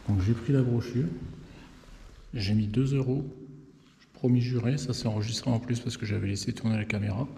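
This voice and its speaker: Fifties guy with a gravelly dark voice, chatty and marvelling, action cam in a church, faint hiss. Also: on the low side. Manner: chatty, marvelling, talks to the viewer directly. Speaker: motorcycle travel vlogger. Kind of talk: casual walking tour commentary. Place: inside a stone church and tower. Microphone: action camera held close.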